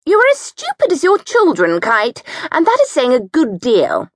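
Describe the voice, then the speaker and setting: A woman voicing a loud, domineering, bullying wife who speaks in high, shrill, hectoring tones. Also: huge pitch swings, normal speed, no echo, studio quality, exaggerated voice. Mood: indignant.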